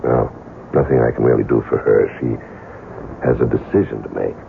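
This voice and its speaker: Smooth worldly guy in old radio play, super deep and creaky, wry, measured, confiding. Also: steady tone, heavily acted. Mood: guarded, confiding. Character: smooth-talking, worldly male market analyst from Chicago. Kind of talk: 1970s radio drama acting. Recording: lo-fi 1970s radio broadcast, slight noise.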